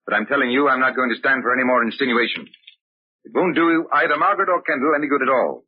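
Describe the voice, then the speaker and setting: A man's voice: mid-range voice, fast, slightly rough, lightly acted. An actor playing a bitter, controlling older father in an old-time radio drama, speaking formally with silky, condescending menace. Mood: stern, superior. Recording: muffled, lo-fi vintage radio recording.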